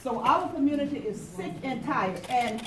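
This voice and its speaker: A woman's voice: high-pitched, lively intonation, moderate pace, echoey room, a crowd in the background. A middle-aged woman moderating a public meeting, addressing the floor insistently with emphatic repetition. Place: public library meeting room, indoors. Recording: distant camera mic, faint room noise.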